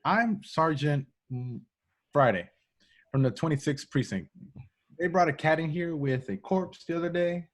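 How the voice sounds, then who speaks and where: A man's voice: a little high, lively intonation, measured pace. Cocky, flirty undercover agent acted by a man. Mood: awkward, bluffing. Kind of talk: amateur table read of a film script. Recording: home remote table-read recording.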